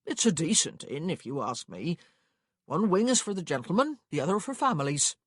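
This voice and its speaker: A woman's voice: fairly low pitch, very animated, brisk, slightly rough, no room sound, studio quality. A woman voicing a nosy, officious male stagecoach clerk in an audiobook, chatty and rambling. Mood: easygoing, long-winded. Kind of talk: acted audiobook dialogue.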